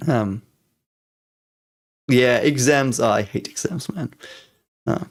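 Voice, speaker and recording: A man's voice: mid-range voice, slow. A man in his thirties with a German accent, chatting casually on a live stream and answering chat, rambling with many fillers and restarts.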